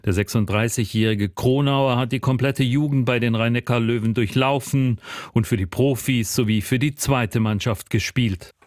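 A male sports reporter reads a scripted news item. His voice is mid-pitched and the studio sound is very dry. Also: fairly even intonation, moderate pace, no echo.

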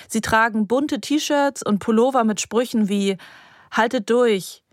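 A woman reporter narrating a courtroom report in a measured, matter-of-fact scripted style. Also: medium pitch, dry recording, clean studio sound.

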